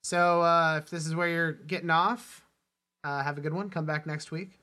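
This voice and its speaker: A man hosting a casual film discussion, halting and often interrupting himself as he talks. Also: a little high, fairly even intonation, bright, forceful tone. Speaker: podcast host.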